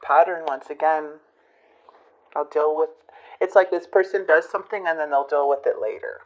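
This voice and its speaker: A woman giving a casual tarot reading to her viewers, musing and hesitant, her voice a bit hoarse from a recent illness. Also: sits low, moderate pace, dull audio. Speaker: tarot reader.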